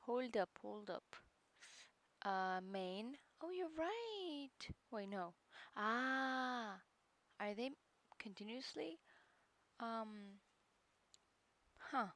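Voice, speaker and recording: A woman with a naturally low voice talks to her live-stream viewers in a slow, unhurried way. Her tone carries a gravelly fry, and she fills her speech with hesitant ums and quiet muttered asides as she works through a course. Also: lively intonation. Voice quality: low speaking voice. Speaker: livestreamer studying a course. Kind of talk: casual live-stream chat.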